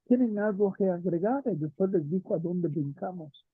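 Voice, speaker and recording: A man's voice: high voice, animated, normal speed, husky, dry recording, phone-quality sound. A man teaching theater history to a small class, thinking aloud and checking in with his students. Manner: thinks aloud, asks students questions, frequent "¿no?". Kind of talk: lecture and class discussion.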